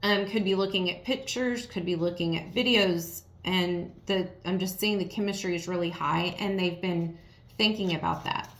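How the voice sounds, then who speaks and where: A woman with a raspy, worn voice giving a casual tarot reading, talking frankly and straight to the viewer. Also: on the low side, normal speed, a bit bright, a little hiss or hum. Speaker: tarot reader. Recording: roomy echo.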